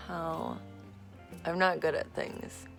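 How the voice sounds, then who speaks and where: A woman vlogging at home on her phone, her voice hoarse and rough, chatting in a meandering way with lots of fillers and casual swearing. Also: fairly low pitch, level tone, takes it slowly, fairly bright tone, faint music behind. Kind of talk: casual rambling vlog chat. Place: at home, in a room being turned into an office. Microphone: phone camera recording herself.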